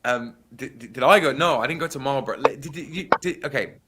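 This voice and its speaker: A man co-hosting a casual live stream, incredulous and quick to laugh. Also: mid-range voice, crowd noise. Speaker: stream co-host.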